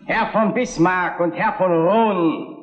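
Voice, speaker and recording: An actor playing an indignant liberal opposition deputy in a German historical radio play, declaiming solemn accusations with heavy emphasis. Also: male voice, high-pitched, slow pace, lo-fi sound. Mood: righteous, accusing. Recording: echoey parliament chamber.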